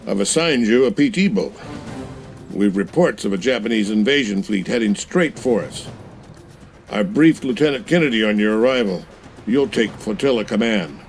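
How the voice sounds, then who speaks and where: An actor playing a gruff, blunt older American admiral in a war game cutscene. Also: male voice, lowish voice, expressive, moderate pace, faint music behind. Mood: stern. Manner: blunt, commanding, sardonic. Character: stern, gruff older male US Navy admiral. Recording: noisy ambience behind.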